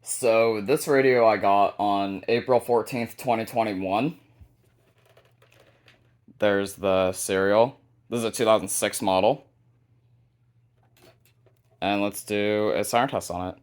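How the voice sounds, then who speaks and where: A man's voice: on the low side, fairly even intonation, slow, pauses often. A man hosting a hobby video, narrating a casual show-and-tell of a collection.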